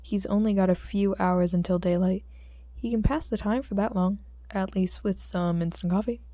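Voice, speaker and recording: A woman's voice: mid-range voice, fairly even intonation, moderate pace, warm tone, no room sound, studio quality. A woman with a sore throat, speaking as an amateur audiobook reader in an informal, homemade style. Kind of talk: story narration and casual chat with listeners.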